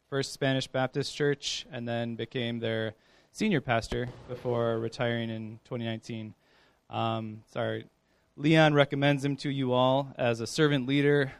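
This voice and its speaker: A man introducing a guest speaker at church, slow and a little hesitant, with fillers. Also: medium pitch, clear, resonant tone, no echo. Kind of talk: formal introduction.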